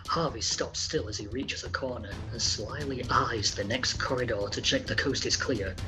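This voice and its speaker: A man's voice: mid-range voice, low-quality recording. A man reading screenplay scene directions as dramatic narration. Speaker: script reader. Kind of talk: scripted narration, screenplay read-through.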